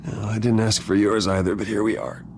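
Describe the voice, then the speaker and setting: An actor as a brooding wealthy male vigilante in a video game drama. His voice is deep and heavily creaky, subdued and earnest. Clear noise and ambience sit behind him. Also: little pitch movement, fairly quick, natural voice. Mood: earnest, defensive. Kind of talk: naturalistic video game acting.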